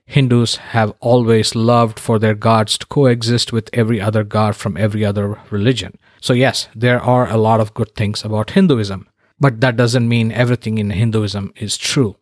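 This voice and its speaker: A man's voice: fairly low pitch, fairly even intonation, normal speed, dry recording, studio quality. A man from India hosting his own podcast, delivering a scripted monologue in an earnest, emphatic way with wry asides. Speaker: podcast host.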